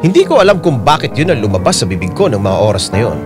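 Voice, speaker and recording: A man's voice: mid-range voice, sing-song, fast talker, natural voice. An actor voicing a remorseful, confused husband who narrates his letter earnestly and sorrowfully, with a Filipino accent. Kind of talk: dramatic first-person letter narration. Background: loud piano and keyboard music.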